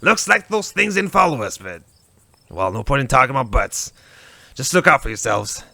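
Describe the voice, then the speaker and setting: A man playing a gruff, folksy, worried small-town man with a gravelly voice in a fan-dubbed visual novel. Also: medium pitch, big pitch swings, moderate pace, sharp tone, dry recording, full character voice. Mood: worried, protective.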